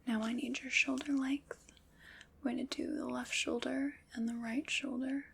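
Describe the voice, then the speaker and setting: A woman's voice: sits high, flat delivery, creaky, slight background noise. A woman playing a whispering armor fitter. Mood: gentle, attentive. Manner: soft whispering, slow and attentive. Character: calm polite woman fitting armor, measuring. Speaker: ASMR roleplay creator. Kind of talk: ASMR roleplay whispering.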